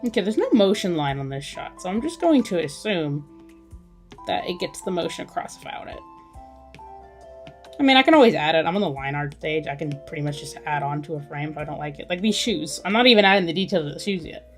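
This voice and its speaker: A woman's voice: low voice, big pitch swings, fairly quick. A woman chatting casually as she draws on a livestream, thinking aloud in a musing way. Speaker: artist hosting a drawing livestream. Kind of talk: casual chat while drawing. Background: music.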